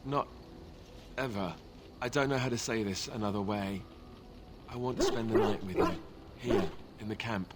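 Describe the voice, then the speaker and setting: A man's voice: mid-range voice, animated, normal speed, pauses often, very noisy, natural voice. Man acting a bashful, lovestruck young warrior. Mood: earnest, tender. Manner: hesitant, bashful, earnest, stumbling. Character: awkward, lovestruck, earnest young warrior companion. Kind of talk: fantasy video game dialogue.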